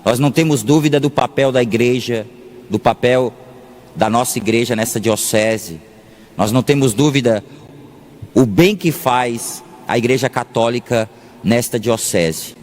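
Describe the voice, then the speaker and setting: Slow-paced Brazilian priest in an echoing hall. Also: male voice, medium pitch, darkish tone. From Salvador, Bahia, Brazil. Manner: deliberate, pausing often, repeats phrases for emphasis. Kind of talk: sermon. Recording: long echoing reverb of a large hall.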